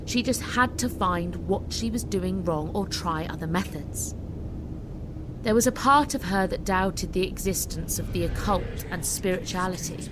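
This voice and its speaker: A woman's voice: fairly low pitch, expressive, unhurried, background noise. A woman giving scripted, dramatic story narration as an audiobook narrator. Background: music.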